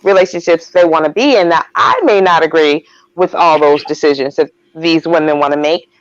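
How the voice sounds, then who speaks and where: A woman's voice: deep voice, fairly quick, no room sound, dull audio. A woman giving her opinions in a discussion, speaking emphatically and assertively.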